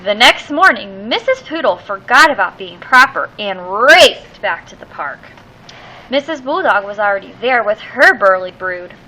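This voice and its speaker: A woman reading a children's picture book aloud expressively, with theatrical, drawn-out emphasis on key words. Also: mid-range voice, expressive, pushed and bright, rough recording.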